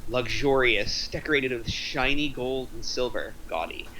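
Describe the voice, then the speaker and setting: A man's voice: mid-range voice, unhurried, bright, forceful tone, a little hiss or hum, low-quality recording. A man reading stage directions as the narrator of a screenplay table read.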